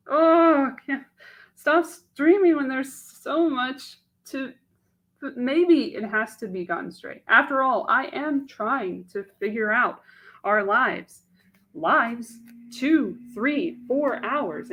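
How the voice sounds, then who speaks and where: A woman's voice: fairly high pitch, a bit bright, pitched above the performer's own voice, full character voice, poor audio. A woman reading a dramatic monologue as a young woman agonizing over a marriage proposal, in a breathless, searching and emotional way.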